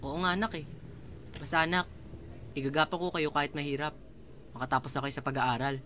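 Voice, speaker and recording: A man's voice: fairly high pitch, fairly even intonation, brisk, sharp tone, a little hiss or hum. A man playing a loving, struggling father who talks earnestly to his children in an amateur radio drama. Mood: tender, earnest. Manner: tender, earnest.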